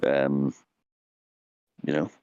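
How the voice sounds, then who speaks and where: A man chatting on a live stream, halting and hesitant, with frequent fillers and swearing. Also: very deep voice, flat delivery, darkish tone, no room sound. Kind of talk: casual chat. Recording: dull lo-fi dry mic.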